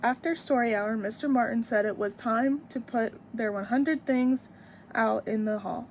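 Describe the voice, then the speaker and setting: A woman's voice: fairly high pitch, normal speed, a little hiss or hum. A woman in her twenties reading a picture book aloud expressively for young children, with teacherly emphasis and asides. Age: 20s.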